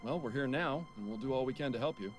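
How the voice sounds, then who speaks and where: Guy voicing a dry detective in an adventure game, straight to the point, average pitch, bit of music behind. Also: fast, lightly acted. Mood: polite, businesslike. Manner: to the point, polite questioning. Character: businesslike, dry-witted male police detective. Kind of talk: voiced adventure game dialogue.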